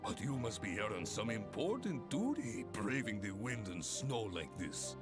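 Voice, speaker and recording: An actor playing a hearty, pompous older guild leader in an anime video game, speaking in a theatrical, formal manner. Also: male voice, fairly low pitch, very animated, moderate pace. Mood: jovial. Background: game music.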